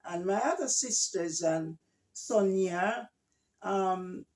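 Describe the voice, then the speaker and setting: Older woman, from Jamaica; slow, warm-toned guest, gentle, halting, repeats herself. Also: medium pitch. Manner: hesitant, gentle, repeats words. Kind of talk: casual family interview.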